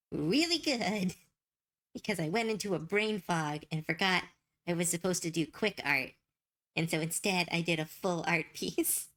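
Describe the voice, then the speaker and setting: A young woman chatting on a desk mic while she draws on stream. She talks a little low for a woman and rambles from one idea to the next with bursts of giggles. Her voice sounds worn and slightly hoarse from talking too long. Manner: rambling, giggly, thinks out loud. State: voice getting hoarse and tired. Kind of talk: casual chat while drawing.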